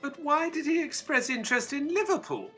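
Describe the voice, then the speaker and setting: A man playing an earnest, excitable Victorian gentleman, a detective's companion and narrator, in an audio drama. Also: very high-pitched, brisk, faint music behind, heavily acted. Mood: puzzled.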